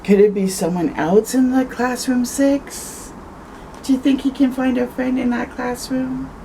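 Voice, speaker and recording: Female storytime reader: unhurried, mellow, expressive children's read-aloud with chatty asides, some background noise. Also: high voice, rough recording. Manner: conversational asides, tags questions with "eh". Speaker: storytime host reading aloud.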